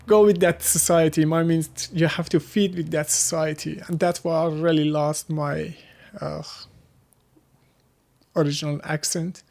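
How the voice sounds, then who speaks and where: A man from Afghanistan with an Afghan accent and Hazaragi as his first language, answering casually in halting, searching English. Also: fairly high pitch, steady tone, creaky, darkish tone. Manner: halting, searching for words in non-native English. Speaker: interviewee. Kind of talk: casual interview conversation.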